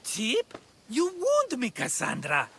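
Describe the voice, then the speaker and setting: An actor playing a glib, scheming, excitable merchant in a video game cutscene, with a theatrical, wheedling, grandiose manner. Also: male voice, very high voice, takes it slowly, gravelly, slight background noise, heavily acted.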